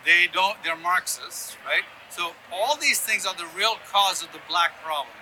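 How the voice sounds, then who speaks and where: A man's voice: high voice, animated, normal speed, sharp tone, slight background noise, rough recording. A man from Ghana and East Africa giving opinionated political explanations in an interview, often checking in with "right?". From born in Ghana, grew up in Sudan, Yemen and Ethiopia. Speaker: interview guest, conservative activist.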